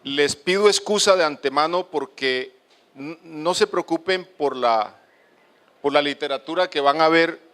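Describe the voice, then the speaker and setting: A middle-aged man from the Colombian Caribbean coast, with a coastal accent mixed with Medellín's, giving a halting lecture to an auditorium with many fillers. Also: on the high side, a bit bright. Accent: Colombian, coastal mixed with Medellín paisa. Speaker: speaker at a lecture. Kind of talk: lecture to an audience.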